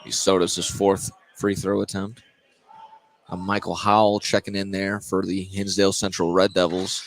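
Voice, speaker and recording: Low-voiced man calling live basketball. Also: flat delivery, measured pace. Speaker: play-by-play announcer. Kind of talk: live high school basketball radio commentary. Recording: noisy live gym broadcast.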